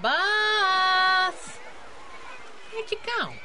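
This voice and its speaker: Teasing female sidekick, breezy, high voice, unhurried, radio drama, noisy ambience. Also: expressive, pushed and bright, heavily acted. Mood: playful.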